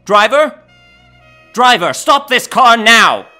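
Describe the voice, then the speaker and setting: A man's voice: very high-pitched, big pitch swings, takes it slowly, bright, forceful tone, soft background music, full character voice. A man playing a blustering, commanding mayor in a superhero audio drama. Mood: alarmed, demanding. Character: blustering middle-aged city mayor, alarmed and commanding. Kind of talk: fan-made superhero audio drama acting.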